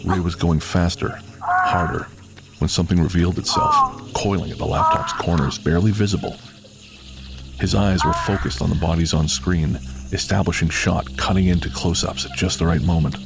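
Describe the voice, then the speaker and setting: Very low-voiced male horror narrator. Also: vocal fry, very noisy. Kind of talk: scripted horror audio drama narration.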